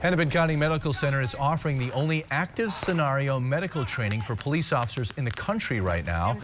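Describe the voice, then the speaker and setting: A man's voice: medium pitch, lively intonation, fast, background noise. An American man reading scripted stories as a television news anchor.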